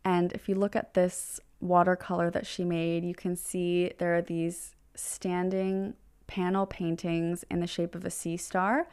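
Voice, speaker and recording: Measured, smooth-voiced woman explaining art. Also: lowish voice, level tone, darkish tone. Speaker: gallery representative being interviewed. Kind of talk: prepared, explanatory art talk in an interview.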